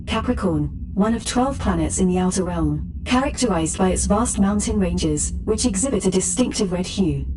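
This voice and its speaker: A woman plays an impassive female ship computer in a sci-fi audio drama. The mid-pitched voice recites reports in formal, impersonal sentences. Clear ambient noise sits behind it. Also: animated, moderate pace, hardly any pauses, close to an everyday voice. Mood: impassive, informative. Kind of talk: scripted sci-fi status report.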